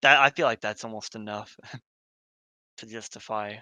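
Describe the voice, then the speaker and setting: A man's voice: lowish voice, steady tone, a little slow, bright, forceful tone, no echo. A young adult man with an American accent co-hosting a podcast, giving his opinions in casual discussion. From United States. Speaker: podcast co-host.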